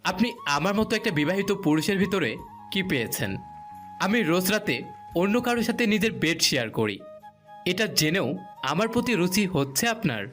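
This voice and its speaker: A man's voice: high-pitched, sing-song, moderate pace, a bit bright, soft background music. A man playing a deferential married employee in a romantic audio story, speaking politely and earnestly with a pleading manner. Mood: protesting, pleading.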